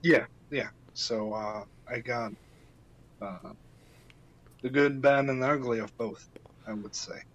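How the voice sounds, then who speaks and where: A man's voice: mid-range voice, takes it slowly, pauses often, fairly bright tone, poor audio. An Icelandic man, a native Icelandic speaker, talking as a podcast guest in a casual interview, hesitant, with many fillers and restarts.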